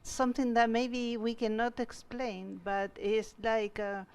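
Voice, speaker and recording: Woman, guest artist at a museum talk, slow and searching for words, clean clear tone. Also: a little high, level tone. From Chile. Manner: halting, searching for words, frequent restarts. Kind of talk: answering audience questions at a museum talk. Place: museum event space.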